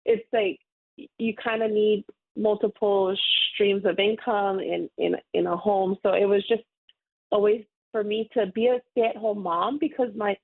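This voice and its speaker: Woman, 30s, from Hawaii, friendly, rambling guest, gravelly edge, phone-line sound. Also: mid-range voice, fairly even intonation, unhurried. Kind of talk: casual interview.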